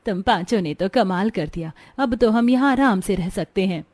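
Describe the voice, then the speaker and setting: A woman's voice: mid-range voice, lively intonation, normal speed, a little mellow, close to an everyday voice. A woman playing an earnest, practical village housewife in a scripted cartoon story. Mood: encouraging. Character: village women, mother-in-law and daughters-in-law, earnest and practical.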